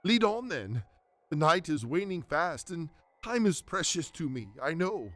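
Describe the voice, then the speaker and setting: A man's voice: a little high, big pitch swings, measured pace, a bit bright. A man playing a humbled older miser in a radio drama, speaking earnestly and formally to spirits. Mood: fearful, resolved.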